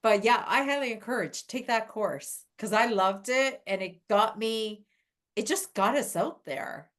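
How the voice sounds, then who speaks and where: A woman's voice: a little high, measured pace, fairly bright tone. A woman hosting a podcast, chatting with a guest in a gushing, enthusiastic way over a video call. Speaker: podcast host. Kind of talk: casual interview chat.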